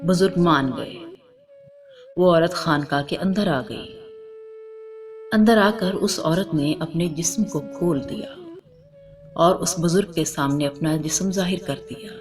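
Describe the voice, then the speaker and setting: A woman narrating a scripted religious moral story in a formal, measured way, as a storyteller. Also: fairly low pitch. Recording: music under the voice.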